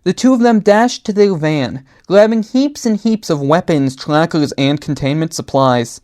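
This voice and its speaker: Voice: mid-range voice, expressive, a little slow, darkish tone. Dramatic storyteller reading a comic horror tale. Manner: dramatic, acts out character voices. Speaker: storyteller and writer.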